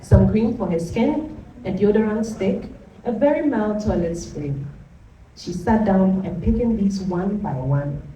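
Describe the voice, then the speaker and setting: A woman's voice: mid-range voice, lively intonation, measured pace, mellow, a little hiss or hum, rough recording. A woman narrating a scripted story as part of a dramatized reading.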